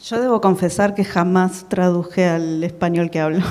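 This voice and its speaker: A woman's voice: lowish voice, measured pace, darkish tone. A woman translator speaking on a panel, hesitant, with many fillers and restarts. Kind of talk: panel discussion. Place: conference hall at a book fair.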